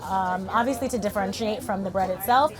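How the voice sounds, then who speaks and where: A woman's voice: mid-range voice, fairly quick, crowd noise. A woman with a Jamaican accent, a food vendor explaining her menu in a street interview. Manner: friendly, explanatory. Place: outdoor holiday market.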